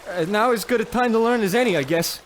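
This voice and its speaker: A man's voice: sits high, fast talker, a little hiss or hum, close to an everyday voice. A man playing a timid, earnest young talent scout in a radio comedy, hesitant and stammering. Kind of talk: old-time radio comedy acting.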